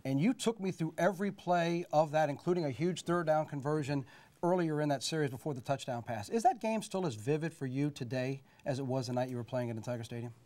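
A man's voice: on the high side, mellow. A man in his forties interviewing a former player on a TV studio set, asking long, enthusiastic questions on a lapel mic. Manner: brisk, enthusiastic storytelling questions. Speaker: sports TV interviewer. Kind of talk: TV sports interview.

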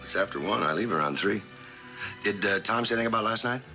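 Faint music runs behind a smooth man. His deep voice is very bright and forceful, confiding in the manner of old television drama. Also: fast. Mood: intimate, hurried. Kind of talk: mid-century American television drama acting.

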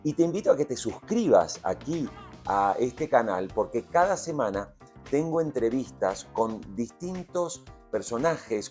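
A man's voice: on the low side, a little mellow. A middle-aged man presenting a podcast and interviewing guests.

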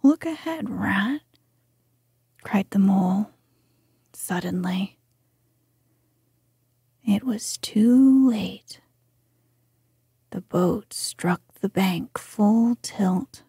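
A woman reads a classic storybook in a hushed, very breathy whisper. Her pace is very slow and measured, and her tone is dark and mellow. The sound is close and dry. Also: mid-range voice, no room sound. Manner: soft whisper, gentle and measured.

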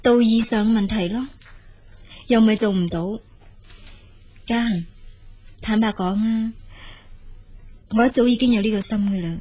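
A firm, practical big sister in a radio drama. Her voice sits fairly high, and she speaks frankly in brisk, reassuring, matter-of-fact Cantonese. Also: dark tone, breathy edge. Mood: earnest, candid. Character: practical, dutiful elder sister, married emigrant, firm and frank.

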